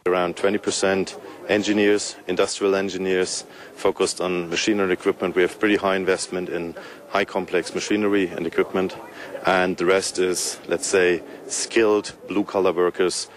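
A man speaking as a company executive in a news interview, formal and slightly halting. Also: low voice, flat delivery. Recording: faint background noise.

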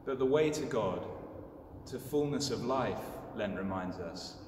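A young man delivering a scripted homily in a measured, solemn manner. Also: medium pitch, lively intonation. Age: young adult. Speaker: clergyman giving a homily. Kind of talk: scripted sermon. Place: inside a large stone church. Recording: distant, echoing church, clearly noisy.

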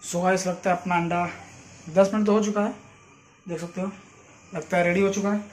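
Young male vlogger, high register, informal and chatty, slightly noisy phone recording. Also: flat delivery, normal speed. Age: young adult. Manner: informal, addresses viewers as friends. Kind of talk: casual vlog chat to camera. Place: in and around a home, indoors and outdoors. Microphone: phone at arm's length.

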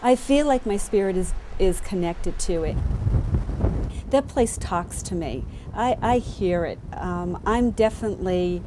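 A woman's voice: mid-range voice, lively intonation, measured pace, noisy background. A middle-aged woman with a Boston accent, a lighthouse keeper speaking in a heartfelt, plain-spoken way in a reflective documentary interview.

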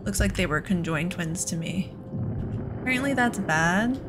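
A woman's voice: fairly low pitch, lively intonation, normal speed, music in the background. A woman giving casual let's play commentary on a video game, rambling and thinking aloud as she goes.

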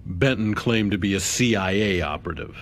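An actor playing a skeptical official at a hearing, questioning in a formal, pointed way, in a video game. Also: male voice, sits low, a little fast, lightly acted. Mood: skeptical, probing. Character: skeptical, formal male official interrogating witnesses. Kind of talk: scripted game cutscene acting.

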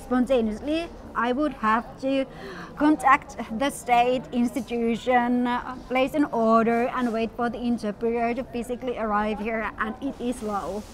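A middle-aged woman from Finland, interpreting a guest's words in first person with steady, slightly formal explanation. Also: on the high side, moderate pace, low-quality recording. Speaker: sign language interpreter voicing the guest. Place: outdoor courtyard at a busy event. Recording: outdoor event with crowd noise. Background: crowd chatter.

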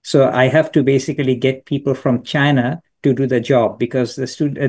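Mellow-voiced Bangladeshi-born professor on a call. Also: male voice, mid-range voice. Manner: thoughtful, explains with anecdotes, frequent restarts. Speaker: business school professor. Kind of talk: answering questions in an online lecture. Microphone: video call.

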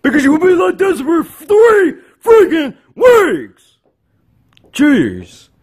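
A short-tempered scolding father in a homemade comedy skit, acted out by a man. He nags repetitively in a husky, slow, extremely animated voice that sits fairly high. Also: heavily acted. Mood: angry, exasperated. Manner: nagging, repetitive, exasperated. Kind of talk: amateur improvised comedy skit.

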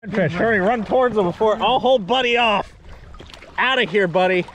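A young man hosting a fishing vlog, chatting casually and playfully with excited exclamations while wading outdoors. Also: sits high, brisk, slight background noise. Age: young adult. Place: outdoors wading in open shallow water. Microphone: action camera, handheld or body-worn.

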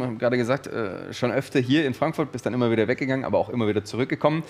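A man hosting a studio interview show, upbeat and lively as he presents and asks questions, on a headset mic. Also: lowish voice. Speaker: show host.

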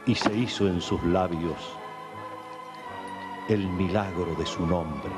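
Radio soap narrator, Argentine man, middle-aged, very deep and dramatic, loud music bed. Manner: solemn, dramatic, measured phrasing. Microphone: desk microphone, close. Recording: archive studio recording under loud music.

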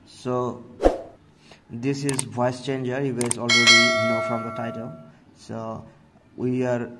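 A man hosting a tech tutorial, narrating casually step by step and often addressing viewers as guys. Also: mid-range voice. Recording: noticeable background noise.

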